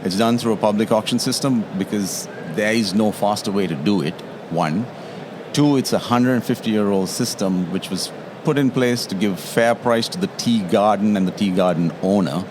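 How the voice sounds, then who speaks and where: Sri man, very low voice, guest expert on a noisy show floor. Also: moderate pace. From Sri Lanka. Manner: explanatory, tags statements with "yeah?". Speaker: tea taster and guest. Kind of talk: interview at a trade show.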